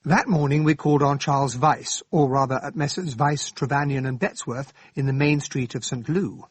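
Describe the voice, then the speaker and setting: An actor playing an earnest English gentleman sidekick, well-spoken and descriptive, narrating and acting in a radio drama. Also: mid-range voice, steady tone, moderate pace, slightly rough, dry recording, lightly acted. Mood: reflective narration.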